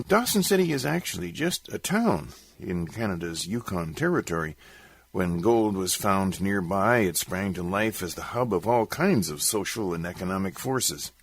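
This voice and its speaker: Expressive male storyteller with a husky edge. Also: low-pitched. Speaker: radio host and poem reciter. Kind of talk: introducing and reciting a comic narrative poem.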